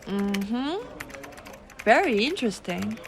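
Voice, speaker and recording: A woman playing a gentle, teasing giantess secretary in an ASMR roleplay, coaxing and cooing softly. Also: on the high side, sing-song, creaky, a little hiss or hum, exaggerated voice. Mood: gentle, attentive. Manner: soft coaxing, cooing, unhurried.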